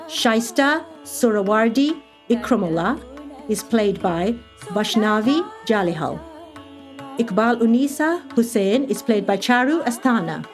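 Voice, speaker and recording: A woman reading scripted closing credits as an announcer. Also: fairly high pitch. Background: faint sitar music.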